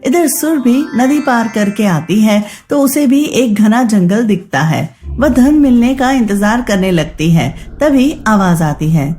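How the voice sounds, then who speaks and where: A woman narrates a scripted children's story. Her voice is high and very animated, and music plays clearly behind her. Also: slow, few pauses.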